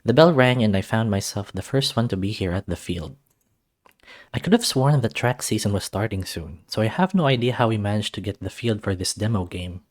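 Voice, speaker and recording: A man's voice: fairly low pitch, lively intonation, fairly quick. A man reading a visual novel aloud as a first-person narrator. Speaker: let's-play reader.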